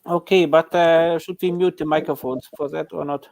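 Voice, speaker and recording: A man speaks up in an online class, his voice a little high and still hoarse from being sick. He hesitates and searches for words. Typing clicks sometimes sound behind him. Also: steady tone, moderate pace, a little mellow, no echo, clean studio sound. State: voice still hoarse after illness. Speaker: class student.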